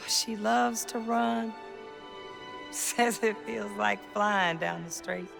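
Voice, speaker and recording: Slow, creaky-voiced weary mother. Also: medium pitch, exaggerated voice. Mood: wistful, loving. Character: weary, loving working mother. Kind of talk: video game voice acting, reflective monologue. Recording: game music behind the voice.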